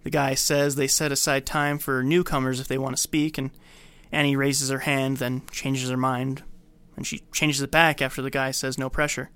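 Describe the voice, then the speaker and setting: A man's voice: mid-range voice, flat delivery, moderate pace, fairly bright tone, clean tone, no echo. A man co-hosting a podcast, casually recapping and commenting on film scenes. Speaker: podcast co-host.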